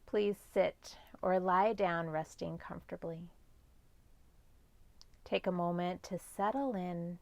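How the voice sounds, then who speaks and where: A woman guides a yoga nidra meditation in a lowish voice with noticeable creak. She speaks very slowly and gently, leaving long pauses between measured instructions. The sound is close and dry. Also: dry recording.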